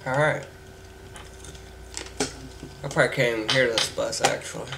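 A young man talks loosely over his gameplay, rambling and swearing now and then. His voice is gravelly and a little congested from a cold. A hissy home mic picks up keyboard clicks behind him. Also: medium pitch, fairly even intonation, normal speed. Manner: rambling, offhand, swears casually. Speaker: gameplay video maker. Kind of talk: casual gameplay commentary.

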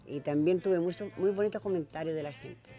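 A woman's voice: fairly low pitch, brisk, music in the background. A woman in her seventies from southern Chile with a Chilean accent, chatting casually and plainly as an interview guest. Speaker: folk singer, interview guest. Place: small television studio.

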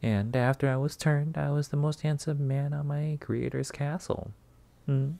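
A man playing a flirty, possessive, delusional vampire master in a roleplay ASMR monologue, teasing and theatrical with drawn-out words. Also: fairly high pitch, steady tone, gravelly, warm tone. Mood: mocking, possessive.

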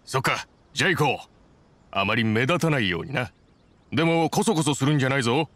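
A man's voice: mid-range voice, lively intonation, fast talker, a bit bright. An actor playing a modest, dependable craftsman in an anime game, speaking in a casual, sincere and plain-spoken way. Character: modest, dependable adult male boat builder in anime game.

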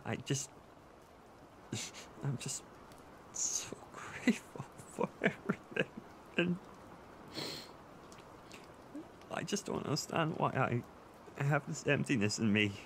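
A man playing an insecure, tearful boyfriend who seeks comfort in an intimate audio roleplay, speaking in a halting, stammering way. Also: mid-range voice, lively intonation, noisy background. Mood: wistful, tearful. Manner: halting, stammering, with nervous soft laughs. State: emotional, tearful.

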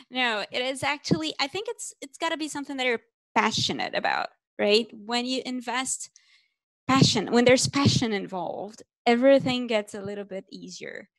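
A woman's voice: a little high, dry recording. Brazilian woman speaking earnestly on a headset. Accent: Brazilian Portuguese. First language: Portuguese. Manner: earnest, reflective, lightly self-joking. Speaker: panelist, podcaster. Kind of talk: panel discussion over video call. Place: small home room. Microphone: headset mic.

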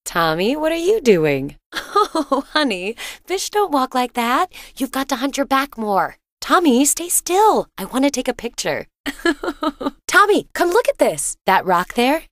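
A woman voicing a cheerful, doting wife and mother in a video game, sweet and expressive, with an inquisitive streak. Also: high-pitched, measured pace, dry recording, exaggerated voice. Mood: excited, coaxing. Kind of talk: video game character voice acting.

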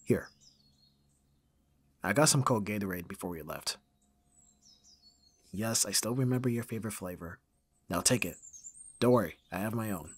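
Man playing a husky, gruff but caring roommate. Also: lowish voice, moderate pace, pauses often, no room sound. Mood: relaxed, caring. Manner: casual, dry, grumbling. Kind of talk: ASMR audio roleplay acting.

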